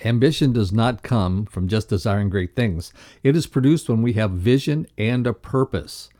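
A man co-presenting a motivational podcast lesson, reading scripted text aloud in a measured, instructional way. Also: on the low side, mellow.

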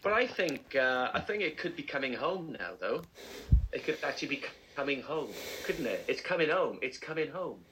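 A man co-hosting a casual podcast, chatty and animated, laughing often as he tells stories. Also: a little high, moderate pace, background noise. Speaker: podcast co-host. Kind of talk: casual chat.

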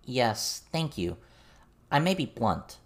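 A woman's voice: very deep voice, big pitch swings, slow pace, heavily acted. A woman voicing a curious, outspoken teenage girl in an earnest, informal, questioning way. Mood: direct.

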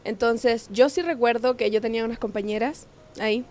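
A woman's voice: a little high, a little fast, a little mellow. A young woman from Ecuador, a guest in a casual interview, talking hesitantly with many fillers and easy laughs. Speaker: guest, singer.

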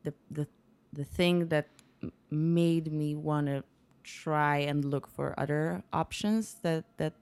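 A woman's voice: deep voice, fairly even intonation, takes it slowly, hardly any pauses, darkish tone, clean tone. A young woman from Poland with a Polish accent, chatting casually as a guest in a home kitchen and telling stories with many restarts. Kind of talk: casual chat. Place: home kitchen, music heard outside.

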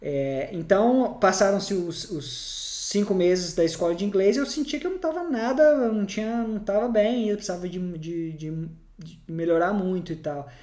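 A man's voice: high-pitched, normal speed, darkish tone, reverberant. A man chatting casually, often hesitating and restarting his sentences. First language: Portuguese. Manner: hesitant, many restarts and fillers. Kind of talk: casual conversation.

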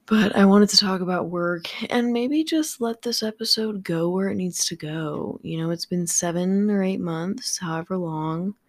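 A tired, slightly raspy young woman rambling through a casual solo podcast late at night, swearing freely and filling gaps with lots of ums. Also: fairly low pitch, dry recording. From Southern California. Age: young adult. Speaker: podcast host. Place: home room with a fan running. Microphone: studio microphone without pop filter.

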